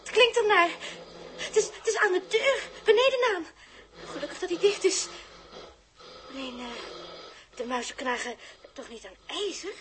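A woman's voice: very high-pitched, lively intonation, moderate pace, pauses often. A woman acting a jumpy, teasing, affectionate space traveller in a 1960s Dutch radio play, with exclamations and playful stammers. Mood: frightened.

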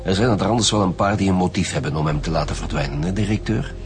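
An actor playing a steady, businesslike Flemish homicide squad leader in a radio drama, direct but polite. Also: male voice, low voice, fast, soft background music, lightly acted. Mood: insistent.

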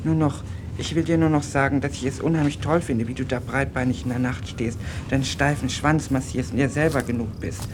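A naive teenage boy from the provinces, played by a man, in a radio drama. He rambles earnestly, apologises a lot and chats on at a middling pitch. The recording has clear noise and rumble behind him. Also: fairly even intonation, a little fast, hardly any pauses, natural voice. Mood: earnest, chatty. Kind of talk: German radio play dialogue.

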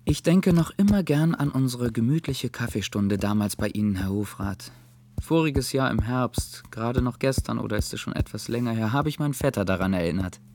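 A man's voice: mid-range voice, expressive, fairly quick, a little mellow, lightly acted. An actor playing a dreamy, lovesick, polite young man in a radio play, musing and courteous. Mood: feigning casualness. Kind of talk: German radio play acting.

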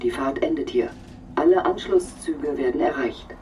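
An actor playing a formal, courteous train announcer in a radio drama. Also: male voice, mid-range voice, normal speed, close to an everyday voice. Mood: formal, routine. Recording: distant, faint noise, train loudspeaker.

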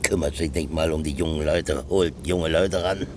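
An actor playing a stubborn, grumbling older man in a German radio play, speaking in a gruff, curt way. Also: a bass voice, steady tone, normal speed, a little hiss or hum. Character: grumbling, stubborn old man who poaches fish.

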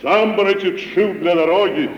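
A man's voice: sits high, a little slow, echoey room. An actor playing a bossy, grandiose wandering male tragedian in a 1950s radio play, pompous, blunt and condescending. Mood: boastful. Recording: dull, faintly noisy 1950s radio recording.

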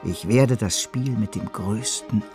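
An actor playing an ambitious, fervent Parisian financier in a German radio drama, speaking in a grandiose, persuasive way. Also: male voice, on the low side, takes it slowly, a little mellow, soft background music. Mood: defiant resolve.

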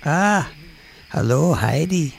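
A man's voice: a little high, expressive, vocal fry, a little hiss or hum, exaggerated voice. A man playing a pompous, theatrical old wizard in a comedy audio drama. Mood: grand, then puzzled. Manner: slow, theatrical delivery.

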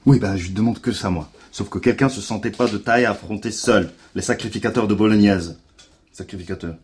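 Casting: male actor as sarcastic, hungry sidekick investigator, low-pitched, wry, for audio fiction with some background noise. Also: brisk, lightly acted. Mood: dismissive, wry. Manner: wry, mocking, talkative. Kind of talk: naturalistic French audio fiction acting.

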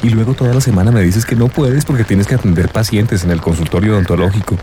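Man acting earnest friendly guy, lowish voice, inquisitive, radio drama, clear background noise. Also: fast, close to an everyday voice. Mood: reproachful. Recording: noisy outdoor ambience, rain.

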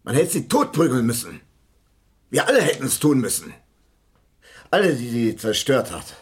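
A man acting in a German radio crime drama as a bitter, washed-up, hard-drinking theatre director, halting and repetitive, with a rough, husky voice. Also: mid-range voice, wildly swooping pitch. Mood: bitter hatred. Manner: slow, halting, repeats himself, swinging between mutter and outburst.

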